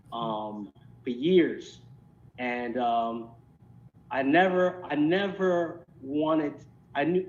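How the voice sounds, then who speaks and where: American guy in his 50s telling stories in an interview, kinda halting, medium pitch, dull lo-fi audio. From Philadelphia, United States. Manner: halting, repeats words. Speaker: interview guest. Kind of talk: casual reminiscing in an interview. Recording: dull lo-fi call, rumbling noise.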